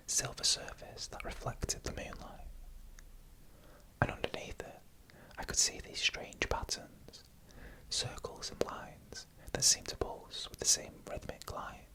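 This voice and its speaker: An ASMR narrator telling scary stories in a breathy, unvoiced whisper, with a hushed and suspenseful delivery. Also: poor audio. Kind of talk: whispered ASMR horror storytelling, scripted narration.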